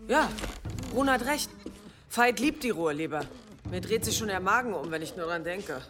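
A woman's voice: mid-range voice, animated, normal speed, lightly acted. An actress playing a stern but compassionate middle-aged village mother in a radio drama, speaking firmly and earthily. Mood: worried. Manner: firm, admonishing, earthy.

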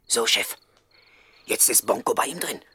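An actor playing an eager gangster henchman in a radio play, brisk and emphatic as he talks to his boss. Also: mid-range voice, lively intonation, crowd noise, lightly acted. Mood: confident. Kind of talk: 1960s German radio play acting.

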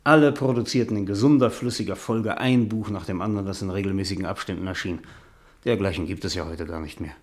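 A man plays a world-weary novelist of middle years in a radio drama. His voice sits fairly low, and he speaks in a cultured, hesitant manner with proud, self-pitying irony. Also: quick pace, close to an everyday voice. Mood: nostalgic, reflective. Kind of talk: German radio play acting.

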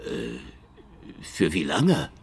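Cynical noir detective, low voice. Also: male voice, wildly swooping pitch, very slow, creaky, a little mellow, husky, full character voice. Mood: probing. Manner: sardonic, world-weary, slangy. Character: cynical, hardboiled Soviet secret-police detective. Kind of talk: noir radio drama acting and narration.

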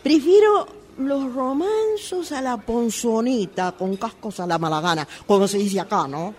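A woman's voice: on the high side, lively intonation, measured pace, a little mellow. A woman playing a fussy, indecisive, affected lady customer with an Argentine accent in a comic radio play. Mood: fussy, dithering. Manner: theatrical, dithering, pretentious. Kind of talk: comic radio theatre acting.

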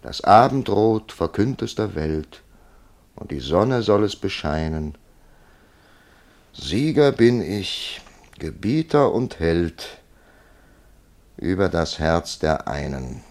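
A world-weary writer telling his story in a radio play. His voice is very low and gravelly, and he takes his time with a dry, ironic, literary delivery, recorded close and dry. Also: very animated, a little mellow, dry recording, heavily acted. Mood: declaiming verse. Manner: measured, wry, literary storytelling. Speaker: narrator. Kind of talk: German literary radio drama narration.

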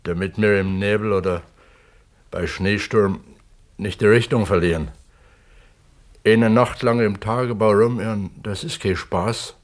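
An actor playing a taciturn, plain-spoken mine worker in his fifties in a 1970s German radio play, terse and unhurried. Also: fairly low pitch, fairly even intonation, close to an everyday voice. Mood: matter-of-fact. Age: 50s. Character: taciturn, plain-spoken male mine pump attendant of fifty-eight, amateur writer.

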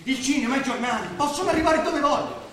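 A man's voice: very high-pitched, animated, quick pace, a bit bright. A man acting on stage in an Italian drama, playing a brash, boastful, talkative squatter with a theatrical, cajoling manner. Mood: boastful, goading. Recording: very echoey hall, distant, faint noise.

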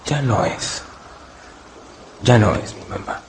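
A man's voice: on the low side, animated, takes it slowly, slight background noise. An actor voicing an earnest, easily hurt young male wolf cub in a radio drama. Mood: sad.

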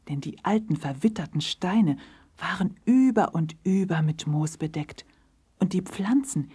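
A woman reads a children's story as narrator, measured and composed. Her voice is slightly low, dark and soft-edged, with a husky breathiness.

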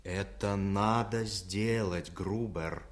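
A man's voice: lowish voice, steady tone, slow pace. A man playing a scheming Austrian statesman, commanding, calculating and emphatic, in a radio drama. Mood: insistent. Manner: insistent, emphatic. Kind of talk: Soviet radio drama acting.

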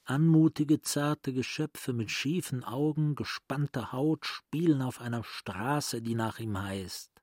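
Husky, self-assured educated man. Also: mid-range voice, darkish tone, no echo, studio quality. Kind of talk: radio play acting in German.

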